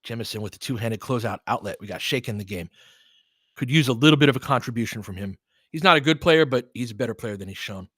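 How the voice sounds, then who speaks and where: A man with a bright, forceful voice and a middling pitch hosts audio play-by-play of basketball. He calls the action in short, clipped phrases as an openly partisan fan and explains tactics conversationally. The sound is dry and close, with little room on it. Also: expressive, fast, dry recording. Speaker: host and play-by-play commentator. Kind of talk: live audio basketball commentary with casual tactical analysis.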